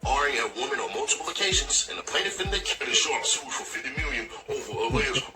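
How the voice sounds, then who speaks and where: A man reading a news article aloud as a commentator in an expressive way. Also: mid-range voice, fast talker. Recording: distant video playback over music. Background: music.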